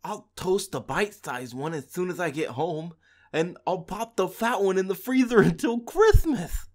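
A woman's voice: on the low side, expressive, normal speed, a little mellow, voice pushed down. A woman doing a sly, sneering fox villain. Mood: gloating. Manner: sneering, scheming, gloating. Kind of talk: storybook reading.

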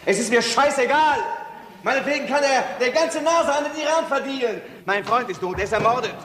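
An actor playing a blunt, defiant, bitter investigator in a crime radio drama. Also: male voice, very high voice, fairly quick, fairly bright tone, echoey room, in a large hall, a little hiss or hum. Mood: bitter, angry.

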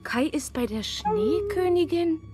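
A woman playing a gentle, earnest, longing young girl in a fairy-tale record play, with a breathy voice. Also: very high voice, very animated, a little slow, faint music behind, heavily acted. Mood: anxious. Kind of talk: radio play acting.

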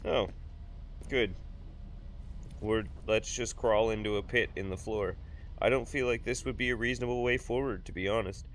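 A man's voice: on the low side, fairly even intonation, normal speed, pauses often, a little hiss or hum. A man in his thirties with a sick, nasal voice from blocked sinuses, rambling and joking through casual game commentary at a home desk. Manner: rambling, jokey, swears freely. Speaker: gaming YouTuber. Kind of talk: casual let's play commentary.

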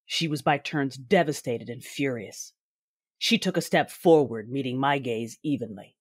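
A woman playing a bitter, imperious Sith Lord bent on vengeance, narrating an audio drama in a grave, measured way. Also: extremely low pitch, husky, no room sound, studio quality, heavily acted. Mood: cold, commanding.

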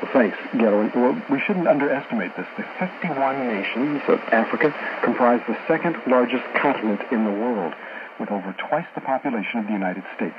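A man working as a radio host and journalist, reading scripted discussion in a measured, formal, informative way over shortwave radio. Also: medium pitch. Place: radio studio, heard over a shortwave receiver. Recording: muffled noisy shortwave radio broadcast.

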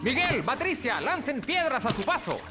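An actor voicing a proud, fatherly penguin who thinks he is a tiger, encouraging and commanding in an animated cartoon. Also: high-pitched, moderate pace. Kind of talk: animated cartoon dub acting. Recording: loud music behind the voice. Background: loud music.

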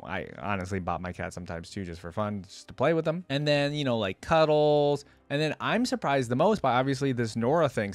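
A man's voice: mid-range voice, no echo. A young man giving casual, off-the-cuff reaction commentary as a video host. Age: young adult.

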